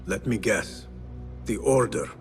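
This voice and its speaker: A man playing an earnest, thoughtful young assassin in a video game cutscene, speaking gently and sincerely. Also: on the low side, animated, takes it slowly, faint music behind. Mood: composed.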